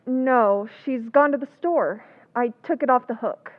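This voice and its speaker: An actress playing Kathy, a distraught young sister, with sobbing outbursts in a radio drama. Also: female voice, fairly high pitch, measured pace, lightly acted. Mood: nervous. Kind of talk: 1940s radio acting. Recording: tinny narrow-band recording.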